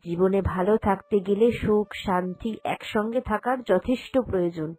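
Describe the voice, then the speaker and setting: A woman's voice: mid-range voice, normal speed, darkish tone, lightly acted, poor audio. A woman voicing a sensible, caring young woman of the family in a cartoon drama, earnest and reassuring. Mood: earnest, firm. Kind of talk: Bengali cartoon drama dubbing.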